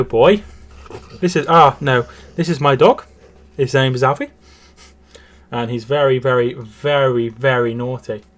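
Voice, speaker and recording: A man hosting a casual football watch-along stream from home, reading out chat and calling the match. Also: mid-range voice. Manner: chatty, repeats words and restarts while reading chat.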